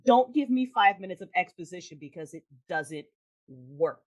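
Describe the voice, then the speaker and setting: A woman chats casually and with strong opinions about film. Her pitch moves around a lot, giving her an animated sound. She is emphatic and blunt, and swears freely. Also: normal speed. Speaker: guest, audio drama creator.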